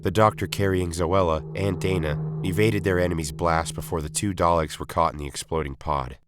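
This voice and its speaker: A man's voice: sits low, steady tone, brisk. A man reading a scripted science fiction story as its narrator. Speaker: story reader.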